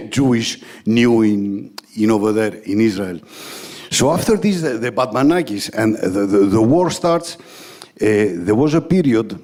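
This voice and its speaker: A man from Greece with a Greek accent doing crude stand-up comedy in English as a second language, swearing and dropping in Greek exclamations, with a husky voice. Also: medium pitch. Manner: animated storytelling with acted-out exchanges.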